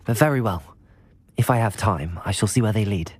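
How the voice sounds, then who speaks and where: Husky, courteous young British wizard. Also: male voice, lowish voice, moderate pace. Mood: polite, composed. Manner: courteous, restrained. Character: polite, composed, helpful young student wizard. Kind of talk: video game voice acting.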